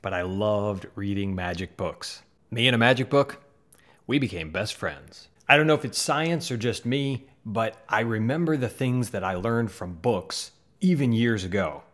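A man's voice: lowish voice, animated, measured pace, a bit bright. A man in his thirties with a Midwestern accent, from the American South, talking earnestly to camera as host of a magic channel in a small home studio. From Southern United States. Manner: earnest, conversational, gestures with hands. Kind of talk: casual talk to camera. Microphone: studio mic on boom in front.

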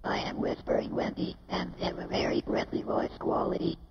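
A speech synthesizer's whispering female voice, breathy and robotic. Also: fairly low pitch, huge pitch swings, moderate pace, heavily acted. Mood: hushed. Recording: dull, noisy playback.

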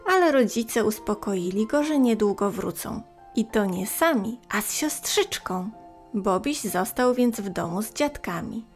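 Higher-pitched woman narrating a children's story. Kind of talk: scripted storytelling for young children.

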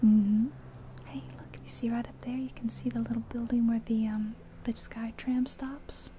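A woman whispering softly and breathily in an ASMR roleplay as a friendly taxi driver, chatting gently and without hurry. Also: fairly high pitch, moderate pace. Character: friendly small-town taxi driver giving a guided ride. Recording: steady car and rain noise. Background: car sounds and rain.

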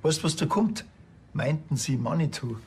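A man's voice: a little high, lively intonation, moderate pace, darkish tone, husky. An actor playing a sardonic, bossy small-town policeman with a Bavarian accent, dry and curt, in a TV crime comedy. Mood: dryly mocking. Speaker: police officer.

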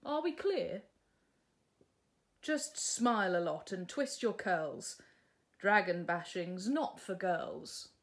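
A woman's voice: lowish voice, animated, takes it slowly, long pauses. A woman voicing a boastful, bossy young prince from a storybook, grandiose and condescending. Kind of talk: storybook character reading.

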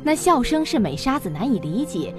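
A woman narrating a suspense audio drama in an expressive storytelling manner. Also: fairly high pitch, a little fast, music in the background.

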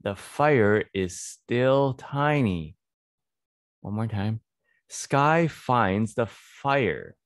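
Very slow, low-pitched man teaching reading. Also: expressive, no echo, studio quality. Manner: deliberate, repeats words and sentences. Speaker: English reading teacher for children. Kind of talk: slow read-aloud phonics lesson. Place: small indoor home studio. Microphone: close desk microphone on boom arm.

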